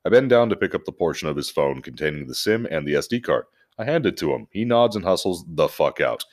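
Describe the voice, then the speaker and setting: Male podcast host, deep register, casual and joking, comfortable with profanity. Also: big pitch swings, fast talker, slight background noise. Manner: profane, jokey. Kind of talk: casual podcast chat and animated story reading.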